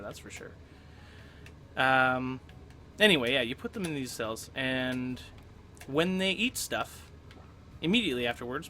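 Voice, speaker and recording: A man's voice: mid-range voice, bright, forceful tone, slight background noise. Upbeat young man with a cold. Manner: chatty, upbeat, frequent throat clearing. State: getting over a cold, coughing. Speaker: let's play host. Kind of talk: casual gameplay commentary.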